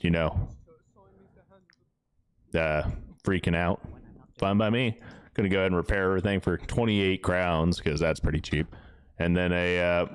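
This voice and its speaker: A man with a deep, smooth voice giving casual live commentary as he plays a game, chatting away to his characters. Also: dry recording. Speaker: game streamer, host. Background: music.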